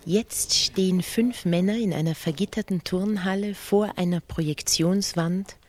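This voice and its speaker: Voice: mid-range voice, a little slow, a little hiss or hum, close to an everyday voice. Actor as a solemn on-site radio reporter. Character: live radio reporter inside a prison, slow and grave.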